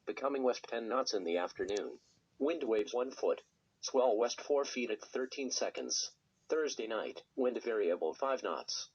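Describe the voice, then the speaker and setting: A synthetic text-to-speech male voice reading a scripted weather radio forecast in a measured, impersonal way. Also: mid-range voice, husky. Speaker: automated weather radio announcer. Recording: dull lo-fi weather radio recording.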